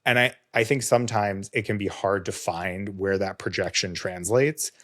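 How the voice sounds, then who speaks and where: Podcast host, male, a bit of vocal fry, low-ish, earnest and talkative. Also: moderate pace, fairly bright tone. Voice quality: slightly hoarse, vocal fry. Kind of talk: casual conversation.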